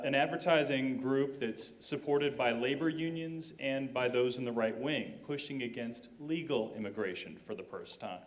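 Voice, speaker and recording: Measured man asking the panel. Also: lowish voice, fairly bright tone. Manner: measured, formal. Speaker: audience member asking a question. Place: auditorium.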